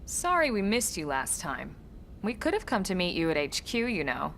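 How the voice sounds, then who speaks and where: An actress playing a composed, brisk military officer who instructs with measured authority in a video game. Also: female voice, lowish voice, wildly swooping pitch, gravelly, a bit bright, slight background noise, exaggerated voice. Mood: calm, businesslike. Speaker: squad leader and trainer. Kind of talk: scripted video game dialogue.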